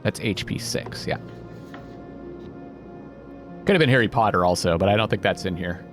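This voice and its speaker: A man's voice: low-pitched, normal speed. A man hosting a let's play, expressively thinking aloud and reading game text. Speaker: gaming video host. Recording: game music behind voice.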